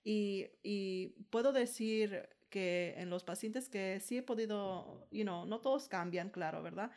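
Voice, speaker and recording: A woman's voice: medium pitch, a little slow, darkish tone. A woman co-hosting a podcast as a dental hygienist, explaining patient care conversationally and slipping into English now and then. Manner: explains with many tag questions, mixes in English. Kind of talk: conversational interview.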